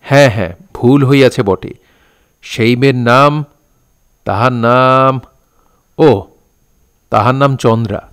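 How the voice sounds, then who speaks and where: A man playing a patient elder telling a folk tale in deliberate, old-fashioned Bengali diction in an audio drama. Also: medium pitch, fairly bright tone, clear, resonant tone, close to an everyday voice. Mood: patient, improvising. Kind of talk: storytelling within an audio drama.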